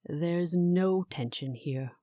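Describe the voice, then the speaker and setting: A stern, icy female official, played by an actress in a fantasy audio drama. Her voice is lowish and mellow, and she talks very slowly in a curt, deadpan way that allows no nonsense. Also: dry recording, clean studio sound. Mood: cool, stern.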